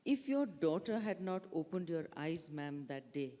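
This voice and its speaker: Man, moderator, reads out questions, brief and polite, high-ish voice, reverberant hall. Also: sing-song, a little slow, mellow. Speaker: session moderator. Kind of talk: reading audience questions aloud. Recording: echoey hall.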